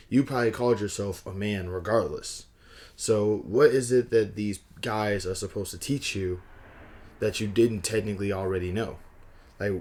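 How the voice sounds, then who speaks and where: A man with a very low, hoarse voice talks straight to camera in a loose vlog. He rambles, restarts his sentences and keeps a wry, conversational tone. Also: darkish tone. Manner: rambling, frequent restarts and fillers. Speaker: vlogger. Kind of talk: casual talking-head vlog.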